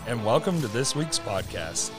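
A man co-hosting a casual faith podcast, talking in an upbeat, quick way with plenty of fillers. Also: medium pitch, big pitch swings, music in the background. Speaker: podcast co-host.

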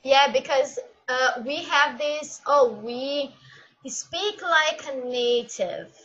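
A woman whose first language is Portuguese speaks English with a Brazilian accent, pausing to think aloud as she hunts for her words. Her voice is high and her delivery unhurried. The recording sounds dull and lo-fi. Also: fairly bright tone. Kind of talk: casual discussion.

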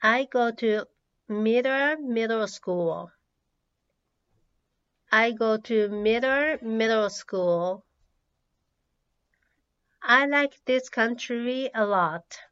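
A woman who is a native Japanese speaker, teaching English by reading out words and sentences with deliberate, measured enunciation for learners. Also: on the high side, a bit bright, clean tone. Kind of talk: language drill, reading words and sentences twice. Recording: slightly dull, lo-fi audio.

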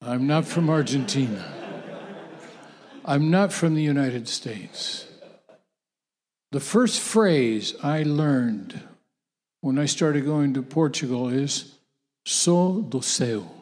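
A man preaching a sermon to a gathered audience, emphatic and repetitive, with halting restarts. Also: medium pitch, animated, a little mellow. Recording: long hall reverb, voice off-mic.

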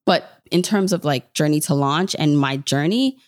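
A woman's voice: deep voice, moderate pace, dry recording, clean studio sound. A woman, talking casually and informally as an interview guest, telling stories in a chatty way. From born in Jamaica, grew up in New York. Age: 30s. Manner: chatty, informal storytelling with quoted self-talk. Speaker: podcast guest, personal finance podcaster.

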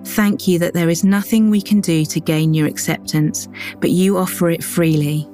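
A woman giving a calm, measured scripted devotional reading that moves into a guided prayer. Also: on the low side, faint music behind. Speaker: devotional reader.

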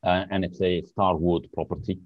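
Deep-voiced Lebanese-born man, dull remote audio. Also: flat delivery, moderate pace, dry recording. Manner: searches for words, hesitant. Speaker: podcast guest. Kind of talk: casual interview chat.

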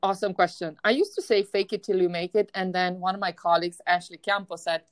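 A woman podcast host with a Russian-sounding accent, talking in an energetic, emphatic way and often repeating words as she starts a thought. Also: fairly low pitch, quick pace, no room sound. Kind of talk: casual conversation.

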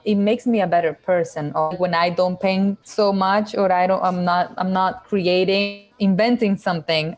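A woman's voice: lowish voice, darkish tone. Hesitant Chilean-born woman painter. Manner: hesitant, many fillers and restarts. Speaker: painter guest. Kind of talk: casual interview answers.

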